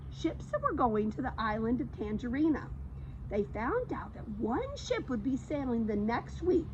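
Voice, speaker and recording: High, animated woman reading a children's story. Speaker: storytime reader. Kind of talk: animated read-aloud of a children's book. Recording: slightly dull audio, faint background noise.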